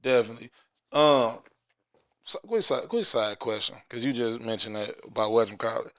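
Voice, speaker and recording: A man hosting a casual interview, asking loose, halting questions. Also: medium pitch, a bit bright. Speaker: podcast host.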